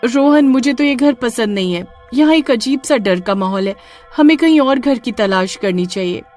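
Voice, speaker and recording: A woman voicing an excitable, easily frightened young village wife who speaks deferentially to her husband in a horror story. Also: fairly high pitch, moderate pace, faint music behind, pitched above the performer's own voice, lightly acted. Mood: uneasy. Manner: exclaiming, addresses husband with wifely deference. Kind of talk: dramatised Hindi horror story.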